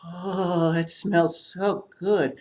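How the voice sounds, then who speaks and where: A man voicing a gentle snail with soft, drawn-out words in a children's puppet story. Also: sits high, flat delivery. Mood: slow, gentle.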